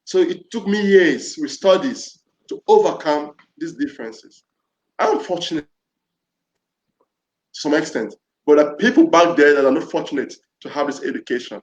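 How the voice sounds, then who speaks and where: A man's voice: on the high side, a little slow. A man from Nigeria leading a panel discussion, speaking earnestly and often repeating and restarting his phrases. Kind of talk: reflective panel talk. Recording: roomy, dull lo-fi audio.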